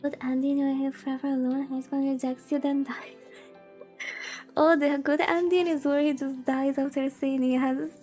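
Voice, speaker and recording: A woman with a high-pitched, gently dark and relaxed voice reads a visual novel on a livestream. She is informal, mixing narration with playfully exasperated asides, over faint background music. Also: measured pace. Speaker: streamer reading a visual novel aloud. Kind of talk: casual livestream reading with commentary.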